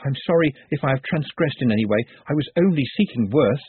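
A man's voice: mid-range voice, expressive, fast, husky, phone-quality sound. A man voicing a small, shy, oddly formal male servant with a precise English accent. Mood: earnest. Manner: precise, elaborate, polite phrasing.

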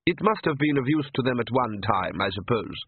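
A genteel vampire father, as a man plays him, soothing and parental with a hint of suppressed annoyance. His voice is husky and lively, recorded close and dry with a tinny, narrow band. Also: medium pitch, brisk, no room sound. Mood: placating, dry. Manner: parental, soothing, with repressed irritation. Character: conciliatory, patient aristocratic vampire father.

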